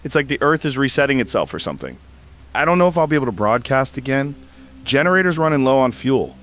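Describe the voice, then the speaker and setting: A man's voice: medium pitch, big pitch swings, quick pace, a bit bright. A man playing a shaken, weary podcaster. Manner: shaken, rambling, trailing off. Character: weary male podcaster broadcasting after disaster. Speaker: podcast host. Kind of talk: audio drama monologue. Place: home broadcast set-up on a generator. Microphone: old podcasting equipment.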